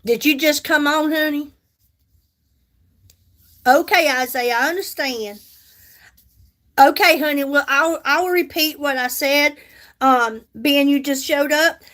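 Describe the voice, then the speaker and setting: A woman's voice: high-pitched, slow pace, long pauses, a bit bright. A woman, chatting casually on a makeup livestream and answering viewer comments. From United States. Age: 50s. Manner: chatty, reads and answers viewer comments, repeats herself for emphasis. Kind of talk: casual livestream chat while doing makeup.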